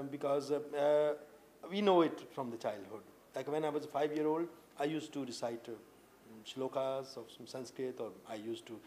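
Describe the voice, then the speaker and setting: A man in his late thirties with an Indian accent, sitting for an indoor interview. He speaks earnestly at a middling pitch, stopping often on "uh" and starting his sentences over. A faint hum hangs in the room behind him. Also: a little mellow. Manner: earnest, halting, lots of uh fillers and restarts. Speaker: poet guest. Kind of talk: interview answers. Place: indoor room with tapestries and a sofa.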